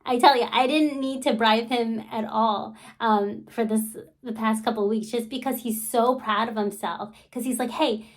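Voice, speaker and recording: A woman talking to her viewers in a relaxed, chatty vlog style. Her voice sits a little high, and she fills pauses with frequent ums and you-knows. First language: English. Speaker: channel host. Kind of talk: casual talk to camera.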